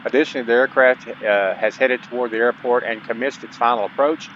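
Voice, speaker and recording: A man's voice: mid-range voice, steady tone, a little fast, fairly bright tone. Regular guy walking thru a sim game tutorial, folksy, kinda muffled lo-fi audio, bit of background noise. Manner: casual, folksy asides to viewers. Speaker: host of a gaming channel. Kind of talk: gameplay tutorial commentary, partly reading on-screen instructions aloud. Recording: dull lo-fi mic, faint background noise.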